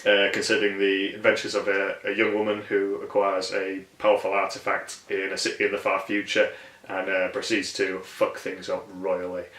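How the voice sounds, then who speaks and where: A low male voice with a bright, forceful edge, recorded in an echoey room. He speaks informally as an interviewed writer and is not shy of swearing. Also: completely flat delivery, moderate pace. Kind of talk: casual interview talk.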